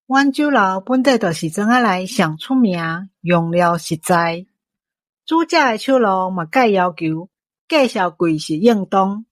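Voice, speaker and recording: A woman's voice: medium pitch, lively intonation, measured pace, dry recording, lightly acted. A woman playing a shrewd, fair-minded restaurant boss in a Taiwanese-accented radio drama, businesslike and authoritative. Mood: firm, reasonable. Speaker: voice actor in an audio drama.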